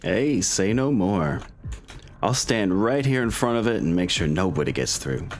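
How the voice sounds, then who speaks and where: A man's voice: low-pitched, expressive, measured pace, slight background noise. A man playing an affectionate, steadfast wife who works as a hangar mechanic in a tabletop game, folksy and reassuring. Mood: warm, reassuring. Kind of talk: tabletop role-play dialogue.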